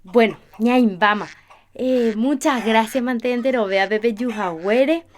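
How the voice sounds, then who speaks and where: A woman's voice: fairly high pitch, slow, a little hiss or hum. An actress playing a sociable village woman who organises her neighbours in a radio soap opera. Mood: friendly, persuasive.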